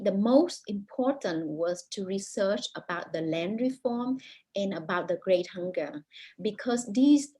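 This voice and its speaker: A woman author speaks English with a Vietnamese accent, her first language being Vietnamese. Her voice sits in a middle register with a slightly husky, breathy grain. She answers thoughtfully over a video call, pausing and restarting as she searches for words. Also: mid-range voice, a little mellow. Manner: thoughtful, many restarts and pauses. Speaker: author, guest.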